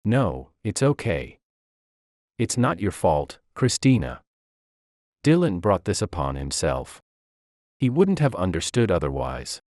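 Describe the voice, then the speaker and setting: A man's voice: deep voice, very animated, slow pace, long pauses, a little mellow, dry recording, studio quality, exaggerated voice. A man voicing a firm, composed chief executive in a narrated story. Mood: reassuring. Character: stern, composed male CEO delivering judgement.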